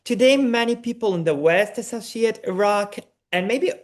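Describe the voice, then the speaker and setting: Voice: mid-range voice, animated, moderate pace. Hesitant podcast host. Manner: hesitant, many fillers, frequent backchannels. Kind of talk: interview conversation.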